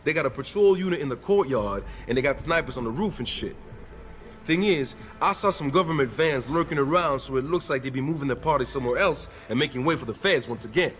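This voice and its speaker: An actor with an American accent playing a friendly, streetwise arms dealer in a video game, talking in easygoing slang. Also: male voice, mid-range voice, animated, fast, a bit bright, natural voice.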